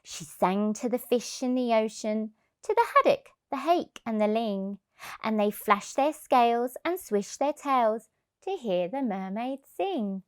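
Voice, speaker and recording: A woman's voice: on the high side, animated, unhurried, clear, resonant tone. A woman reading a rhyming picture book aloud expressively for young children.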